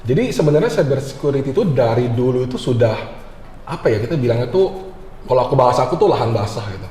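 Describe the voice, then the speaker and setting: Echoey reverberant room, man, mellow voice, quick pace, casual interview answers, English terms mixed in. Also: mid-range voice, expressive, a little hiss or hum. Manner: informal, colloquial, mixes in English terms. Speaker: interview guest, university lecturer. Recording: echoey room with long reverb, music behind.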